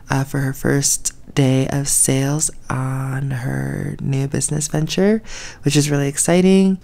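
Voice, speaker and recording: A woman's voice: low voice, vocal fry, a little mellow. A young woman with a stuffy nose chatting casually through her day for a vlog, talking to a handheld camera in her apartment and car. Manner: chatty, rambling, with many fillers. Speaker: vlogger. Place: apartment and then a parked car. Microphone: handheld camera at arm's length.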